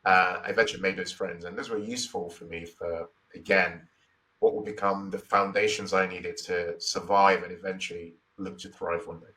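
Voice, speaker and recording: Interview guest: Nigerian man, 40s, deep but crisp-edged voice, reflective and repetitive. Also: steady tone, moderate pace, bright, forceful tone, recorded from a distance, poor audio. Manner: thoughtful, repeats phrases, many "you know, like" fillers.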